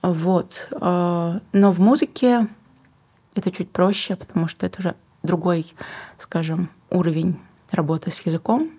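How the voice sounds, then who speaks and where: Low, husky-voiced young woman, reflective. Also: measured pace. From Kazakhstan. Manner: thoughtful, searching for words, soft asides. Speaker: guest, singer and book publisher. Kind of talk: reflective interview answers.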